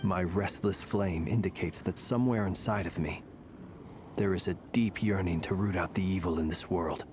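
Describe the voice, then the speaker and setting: An actor playing a composed, elegant fae warrior in a video game, speaking in a measured, formal and reflective way. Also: male voice, low voice, noisy background, close to an everyday voice. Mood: calm, reflective. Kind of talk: dramatic video game voice acting.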